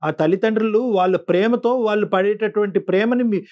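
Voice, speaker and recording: A man hosting a phone-in counselling talk. His voice sits high and moves quickly, and he admonishes earnestly, repeating himself for emphasis. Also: steady tone, muffled recording. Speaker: show host and counsellor.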